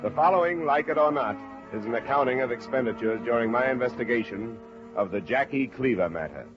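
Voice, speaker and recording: A man's voice: medium pitch, lively intonation, brisk, lightly acted. An actor playing a wry, businesslike male insurance investigator in a 1950s radio detective drama, with dry, clipped delivery. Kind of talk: 1950s radio detective acting and first-person narration. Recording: muffled old radio recording with music.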